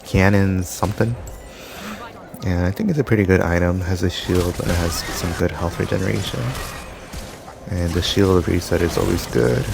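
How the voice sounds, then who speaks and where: Gravelly low-voiced man rambling. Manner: loose, meandering, filler-heavy storytelling. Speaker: gaming commentator. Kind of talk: rambling gameplay commentary. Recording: background noise.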